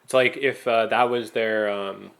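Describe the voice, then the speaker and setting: Casual, halting man chatting as podcast host. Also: fairly low pitch, steady tone, gravelly, fairly bright tone. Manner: halting, thinking aloud with frequent "like". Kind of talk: casual fan chat.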